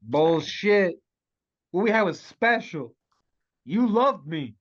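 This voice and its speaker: A man's voice: medium pitch, moderate pace, dull audio, close to an everyday voice. A man reading the part of a hot-headed, profane action-movie hero in a comedy table read. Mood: hurt, defiant.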